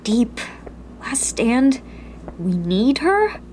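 A woman's voice: fairly high pitch, sing-song, very slow, a little mellow, a little hiss or hum, full character voice. A woman playing a headstrong, skeptical young rebel in a sci-fi radio drama, speaking with insistent emotion. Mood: skeptical, exasperated.

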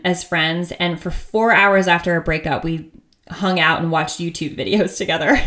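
A woman in her thirties from the United States hosting her own podcast, talking in a chatty, upbeat and candid way with frequent laughs. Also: medium pitch. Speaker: podcast host. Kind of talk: casual solo podcast monologue.

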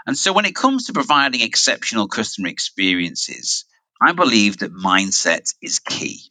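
A man's voice: fairly low pitch, wildly swooping pitch, normal speed, sharp tone, dry recording, low-quality recording. A man hosting a solo podcast, giving emphatic, upbeat coaching talk. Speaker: podcast host.

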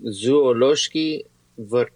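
A man's voice: medium pitch, drawn-out words, a little mellow, rough recording. A man co-hosting casually as a language learner, giving brief, good-humored replies and repeating words.

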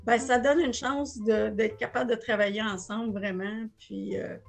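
A woman's voice: fairly high pitch, fairly even intonation, normal speed, creaky, faint music behind. A woman in her sixties with a Quebec French accent, a business co-owner talking plainly in a casual podcast conversation, often restarting her phrases. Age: 60s.

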